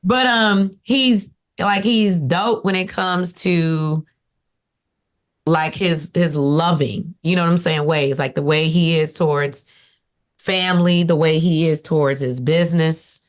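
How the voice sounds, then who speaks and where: A woman with a raspy voice, talking casually and playfully about her husband on a podcast. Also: deep voice, a little slow. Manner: animated, playful, teasing. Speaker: podcast guest, wife. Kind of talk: casual chat.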